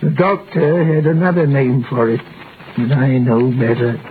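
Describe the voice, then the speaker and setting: A man's voice: medium pitch, normal speed, close to an everyday voice. An actor playing a gossipy, hard-drinking witness, telling his tale with knowing, mournful emphasis in 1930s radio style. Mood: mournful, gossiping. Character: gossipy, hard-drinking male witness recounting a sad scandal. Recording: tinny, noisy vintage radio recording.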